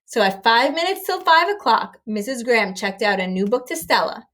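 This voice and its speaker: A woman's voice: on the high side, very animated, a bit bright. A woman reading a children's story aloud expressively and emphatically, as if to young listeners. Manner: emphatic, acts out dialogue, pauses to address young listeners.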